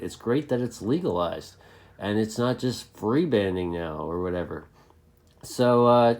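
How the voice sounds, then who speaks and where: American male hobby vlogger, lowish pitch, casual and unscripted, rambling delivery with repeated phrases. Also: darkish tone. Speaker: CB radio hobbyist video host. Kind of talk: casual unscripted video chat to fellow hobbyists.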